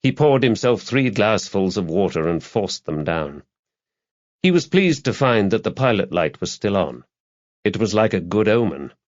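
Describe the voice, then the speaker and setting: A man's voice: lowish voice, big pitch swings, normal speed, dry recording. A man reading a crime thriller novel aloud as a scripted audiobook narration. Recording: dull, lo-fi, dry audiobook recording.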